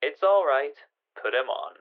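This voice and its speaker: A man's voice: on the high side, lively intonation, measured pace. A man playing a secretive, commanding gangster caller in a radio crime drama, terse, through a telephone filter. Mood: cool, commanding. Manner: terse, ordering. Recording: thin, phone-like band.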